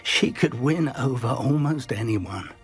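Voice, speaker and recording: A man's voice: medium pitch, lively intonation, unhurried, breathy edge, soft background music. A man playing a wry, kindly, scholarly professor in a video game, formal and thoughtful in his speech. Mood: thoughtful, urgent. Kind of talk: video game cutscene acting.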